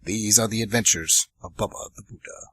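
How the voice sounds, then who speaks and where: A man narrating a scripted radio drama in an expressive storytelling way. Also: lowish voice, fairly quick, breathy edge.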